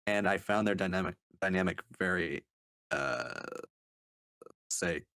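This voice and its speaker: Very deep-voiced man, hesitant book reviewer. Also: a little slow, pushed and bright, no room sound, clean studio sound. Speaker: podcast panelist reviewing books. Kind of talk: casual book review talk.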